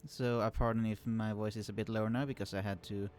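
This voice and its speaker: A man casually commenting on a strategy game he is playing, explaining units with many fillers and restarts, his voice kept quiet and turned down in the recording. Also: fairly low pitch, little pitch movement, quick pace. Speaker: let's play host. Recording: quiet voice, turned down to hide computer hum.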